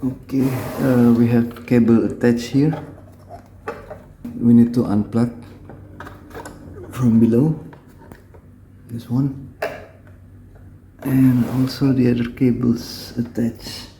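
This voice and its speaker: A man with an Indonesian accent giving a hands-on repair tutorial in halting, simple phrases. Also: mid-range voice, steady tone, creaky, warm tone, a little hiss or hum. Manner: halting, short phrases in simple non-native English.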